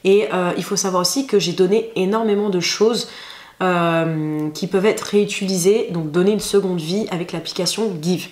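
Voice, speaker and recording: A French woman vlogging in a casual chat, hoarse and stuffed up from a cold, talking with many fillers and restarts. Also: lowish voice, normal speed, a little mellow. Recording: echoey room with faint noise.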